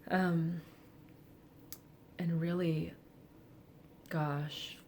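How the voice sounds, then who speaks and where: A woman of forty speaking candidly and reflectively in a personal vlog, with soft laughs and hesitant fillers. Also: fairly low pitch, level tone, extremely slow, slight background noise. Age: 40s. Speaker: vlogger. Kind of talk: personal confessional monologue.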